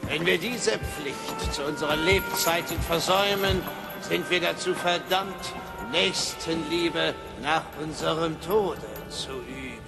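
Slow, creaky, mournful ghost. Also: male voice, a little high. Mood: solemn, warning. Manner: slow, solemn, portentous. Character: solemn chained ghost of a dead old businessman. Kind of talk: dubbed cartoon acting. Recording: loud film music behind.

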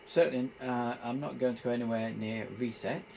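A man giving an informal hands-on tutorial, explaining step by step in a halting, hesitant way. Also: mid-range voice, steady tone, creaky, a little mellow, slight background noise. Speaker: presenter demonstrating radio equipment.

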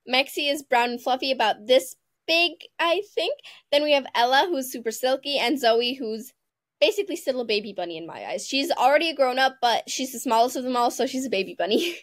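A woman's voice: high-pitched, animated, fairly quick, no echo, clean studio sound. A teenage girl with a mixed international English accent, chatting as a guest over a video call and laughing often. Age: teens. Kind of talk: casual interview. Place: home room.

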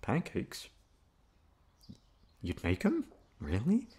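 A man playing a shy, flustered, gentle young classmate in an ASMR roleplay, hesitant and stammering. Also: medium pitch, wildly swooping pitch, drawn-out words, husky, pitched above the performer's own voice, full character voice. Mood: shy relief. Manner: hesitant, stammering, soft-spoken.

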